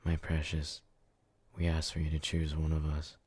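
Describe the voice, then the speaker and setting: A man's voice: rumbling low, monotone, moderate pace, a little mellow, husky, dry recording. A man playing a devoted, brooding, hot-headed but tender yandere in an ASMR roleplay, speaking with earnest intensity. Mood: resigned tenderness.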